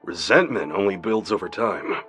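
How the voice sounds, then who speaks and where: An actor playing a skeptical police detective who questions a suspect in a dry, probing manner in a radio drama. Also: male voice, sits low, animated, moderate pace, a bit bright, soft background music. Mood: suspicious.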